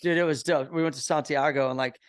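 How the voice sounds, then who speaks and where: A middle-aged man from Kansas City hosts a relaxed podcast chat. His voice sits a little high for a man, and he talks in an excitable, slang-heavy way, calling his guest "bro" and "dude.". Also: quick pace, a crowd in the background. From Kansas City, USA. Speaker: podcast host. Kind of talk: casual interview chat.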